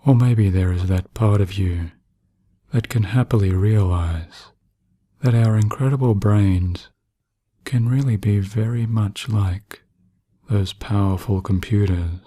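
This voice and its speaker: A man narrating guided sleep hypnosis in a soothing, hushed manner with long pauses. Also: on the low side, no echo, studio quality.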